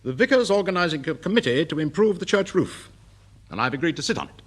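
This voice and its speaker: An actor playing a pompous, flustered and work-shy senior English civil servant in a radio sitcom. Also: male voice, a little high, lively intonation, fast talker, close to an everyday voice. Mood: blustering, complacent. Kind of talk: British radio sitcom acting. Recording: noisy old radio comedy recording.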